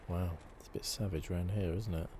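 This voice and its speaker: A soft-spoken man giving relaxed, understated ASMR gameplay commentary on a close mic. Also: sits low, a little fast, heavy background noise. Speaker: gaming video host. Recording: game ambience behind voice.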